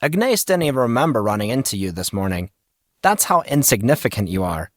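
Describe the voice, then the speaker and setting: A man's voice: medium pitch, sing-song, a little fast, no echo, clean studio sound, natural voice. A man voicing a teasing, argumentative teenage schoolmate with a needling manner in an audio story.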